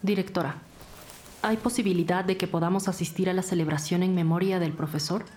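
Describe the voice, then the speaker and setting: A woman's voice: on the low side, steady tone, a little fast, darkish tone, close to an everyday voice. A woman playing a firm, businesslike federal police officer in an audio drama. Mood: professional. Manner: firm, direct, professional. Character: stern, businesslike federal policewoman leading an investigation.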